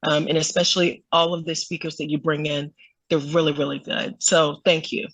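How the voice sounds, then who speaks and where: A woman sharing her success story with a group in a halting, casual way. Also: low voice, little pitch movement, a bit bright, no echo, rough recording. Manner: halting, with many fillers and restarts. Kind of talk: casual testimonial.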